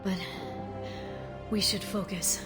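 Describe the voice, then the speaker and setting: A woman playing an earnest, soft-spoken young alien information broker in a video game, speaking a little hesitantly. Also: mid-range voice, fairly even intonation, slow, close to an everyday voice. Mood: determined, hopeful. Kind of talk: scripted video game voice acting. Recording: loud cinematic music behind.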